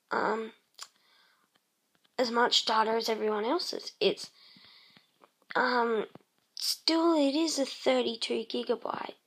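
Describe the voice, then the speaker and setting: A woman casually narrating her game session, croaky and clearing her throat, rambling with many pauses and humming to herself. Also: on the high side, fairly bright tone. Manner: slow, rambling, many ums, hums tunes while playing. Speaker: let's play host. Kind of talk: casual gameplay commentary.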